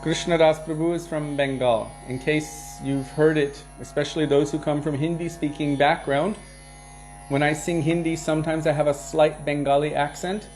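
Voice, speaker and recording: Relaxed man telling devotional stories. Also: fairly high pitch, moderate pace, faint music behind. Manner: relaxed, anecdotal, chuckles now and then. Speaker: kirtan leader and devotional teacher. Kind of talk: casual devotional talk answering viewer questions.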